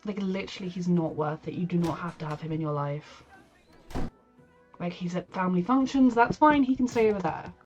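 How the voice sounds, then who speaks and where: A woman with a head cold, chatting warmly and reacting to a TV episode as she watches it, speaking kindly to the characters. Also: fairly low pitch, brisk, muffled recording, soft background music. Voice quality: nasal from a cold. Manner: chatty, empathetic, talks to the characters. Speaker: reaction video host. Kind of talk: casual reaction commentary.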